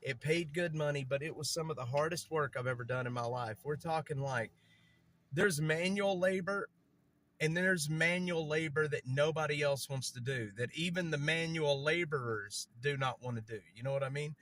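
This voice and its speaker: A man's voice: fairly high pitch, moderate pace, fairly bright tone, a little hiss or hum. Man with a stutter. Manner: rambling, repeats himself, many fillers. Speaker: livestreamer. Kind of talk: casual livestream chat. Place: outdoors on a city street, moving around.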